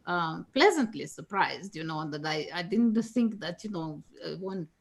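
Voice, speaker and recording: A woman's voice: on the low side, animated, unhurried, gravelly. A woman whose first language is Danish, a guest tarot reader talking casually and teaching, laughing often and restarting her phrases with many fillers.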